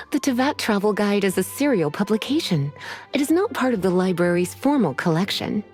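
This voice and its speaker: A woman voicing a sultry, teasing librarian who speaks in a languid, gently threatening way, in a video game with music behind. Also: medium pitch, sing-song, normal speed, lightly acted. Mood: warm.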